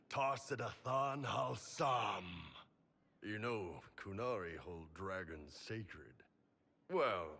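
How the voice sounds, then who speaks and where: An actor playing a hulking, boisterous horned warrior in a video game, with a gravelly voice and a hearty, bawdy manner. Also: male voice, fairly low pitch, bright, forceful tone, heavily acted. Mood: amused, hearty. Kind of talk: video game character acting.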